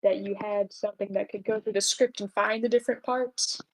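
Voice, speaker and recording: A woman's voice: medium pitch, steady tone, brisk, low-quality recording. A woman hosting an online table read, talking casually and politely as she organizes the group. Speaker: host of an online table read, casting parts. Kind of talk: casual group call chat. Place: online voice call.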